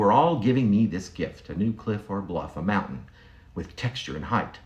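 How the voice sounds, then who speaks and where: A man reading his own poem aloud as a poet, in a reflective manner with deliberate, broken phrasing and pauses. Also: fairly low pitch. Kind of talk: literary poetry reading.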